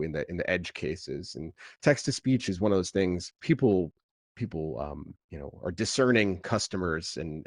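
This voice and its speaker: A man explaining technology as an interview guest, in a casual, halting way with repeated words. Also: low voice, no echo, clean studio sound. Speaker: tech founder as podcast guest.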